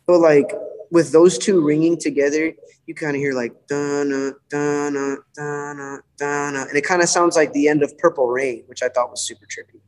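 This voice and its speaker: A man's voice: fairly high pitch, flat delivery, normal speed, poor audio. A young adult man, a musician, chatting casually about his album, rambling with lots of fillers and humming guitar parts aloud. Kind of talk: casual podcast chat.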